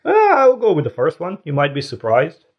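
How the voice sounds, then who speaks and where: A man's voice: fairly high pitch, very animated, fairly quick, breathy edge, muffled recording. Self-deprecating non-native male co-host. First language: not English. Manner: self-deprecating, thinks aloud with restarts. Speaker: podcast co-host. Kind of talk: casual chat.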